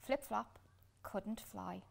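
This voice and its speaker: A woman reads a children's picture book expressively, with deliberate dramatic pauses. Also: mid-range voice, vocal fry. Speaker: children's storytime reader. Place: small furnished room indoors.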